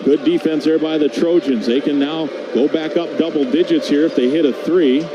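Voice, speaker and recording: A man's voice: fairly high pitch, fairly even intonation, moderate pace, dull audio. A man calling live basketball play-by-play on the radio, over arena noise. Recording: noisy broadcast with arena ambience.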